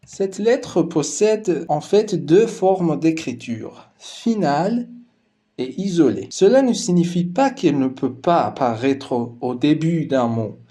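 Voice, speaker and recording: A man whose first language is Persian, calmly narrating a scripted lesson as the teacher. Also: fairly high pitch, takes it slowly.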